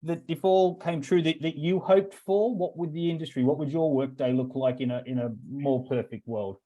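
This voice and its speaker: A male festival host putting questions to his guests. His voice is fairly high and mellow, and he rephrases as he speaks. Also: fairly even intonation, normal speed. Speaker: festival director, interviewer. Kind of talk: interview questions.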